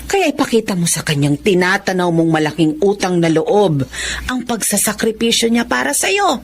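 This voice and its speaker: A woman's voice: mid-range voice, big pitch swings, normal speed, a little hiss or hum. A woman playing a stern but caring older mother of a poor family in a Filipino radio drama. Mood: admonishing.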